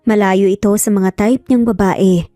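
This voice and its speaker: A woman's voice: medium pitch, steady tone, moderate pace, warm tone, dry recording, clean studio sound, faint music behind. A Filipino woman narrating a scripted romance story.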